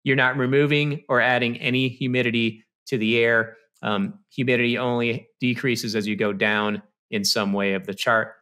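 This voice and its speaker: Man, 30s, bright and forceful, casual instructor tone on a video-call studio mic. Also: medium pitch, little pitch movement, normal speed, hardly any pauses, smooth. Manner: conversational, explains step by step with frequent "you know" and "okay". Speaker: HVAC presenter. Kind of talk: technical presentation over slides. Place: small indoor room. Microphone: studio mic on stand with headphones, video call.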